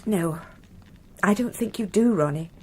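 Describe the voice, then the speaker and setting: An actress playing a frank, wistful married British woman in a radio crime drama, with a breathy voice. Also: medium pitch, measured pace, mellow, a little hiss or hum, lightly acted. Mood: confessional.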